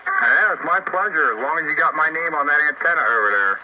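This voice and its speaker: A man runs a radio net in casual chat. His voice comes through muffled and dull, with clear static noise around it. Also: a little high, expressive, fairly quick. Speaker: amateur radio net host. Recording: noisy, muffled two-way radio transmission.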